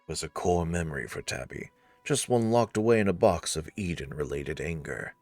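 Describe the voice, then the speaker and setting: A man's voice: sits low, expressive, fairly quick, a little mellow, no room sound, soft background music. A man narrating a visual novel story, slipping in wry, informal asides as commentary.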